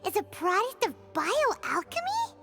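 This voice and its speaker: A woman voicing a tiny, chirpy, nosy fairy girl companion with a squeaky childlike voice in an anime-style video game. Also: wildly swooping pitch, measured pace, gravelly, music in the background, full character voice. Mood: curious, puzzled. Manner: exclaiming, speaks of self in third person.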